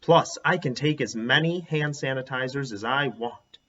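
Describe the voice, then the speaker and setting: A man voicing a fussy, bossy schoolgirl who worries about germs, with emphatic drawn-out words in a storybook reading. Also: mid-range voice, a bit bright, natural voice. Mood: matter-of-fact. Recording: dull, lo-fi sound.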